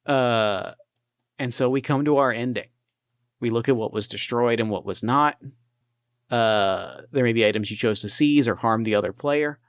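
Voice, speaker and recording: A man with a smooth, resonant voice runs a tabletop game and chats through it casually. He talks loosely, with plenty of fillers and restarts. The sound is dry and close, with no room around it. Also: mid-range voice, moderate pace, no echo. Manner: rambling, many fillers and restarts. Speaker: game master. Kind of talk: casual tabletop play and narration.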